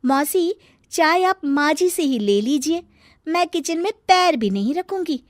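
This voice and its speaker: A proud young daughter-in-law from a moral story, voiced by a woman, quick to take offence. Her pitch is very high and lively as she gives pert, sarcastic retorts, in a dry recording. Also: dry recording. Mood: piqued, sarcastic. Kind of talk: acted dialogue in a moral story.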